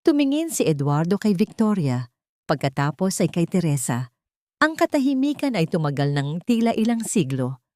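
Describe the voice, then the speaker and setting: Female audiobook narrator, lower register, dramatic scripted delivery, dry close sound. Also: sing-song, moderate pace, dark tone, dry recording.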